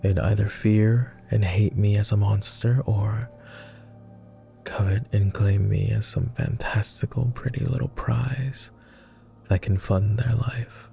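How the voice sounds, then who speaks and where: A man voicing a gentle, devoted vampire, speaking softly and formally in an intimate roleplay ASMR style. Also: on the low side, steady tone, takes it slowly, faint music behind, full character voice. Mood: wistful, guarded. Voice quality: soft, gravelly. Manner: posh, formal, tender. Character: gentle, teasing male vampire, devoted and wistful.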